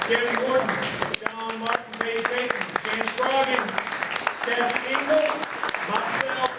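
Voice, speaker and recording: A man hosting a live small-town radio variety show in a folksy style, talking to the folks. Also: high voice. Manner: folksy, addresses the audience as folks. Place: live show before an audience. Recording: echoey hall, distant mic, faint crowd noise.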